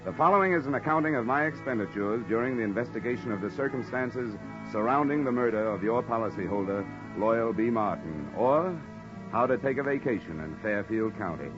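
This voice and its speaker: An actor playing a sardonic, hard-boiled insurance investigator in an old-time radio noir drama. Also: male voice, mid-range voice, animated, fairly quick, over music, close to an everyday voice. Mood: wry, narrating. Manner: sardonic, dry. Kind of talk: 1940s-50s radio acting, noir narration. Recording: muffled old radio recording.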